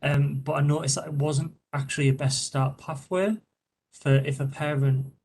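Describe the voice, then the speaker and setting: A man's voice: a little high, flat delivery, normal speed, a little mellow, slight background noise. A man councillor asking a question over a video call, rambling with many fillers.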